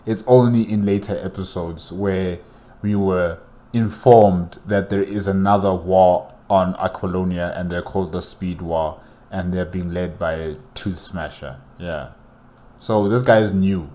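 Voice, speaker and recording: Slow, low-voiced man commenting casually. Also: steady tone, dark tone. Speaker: reaction video host.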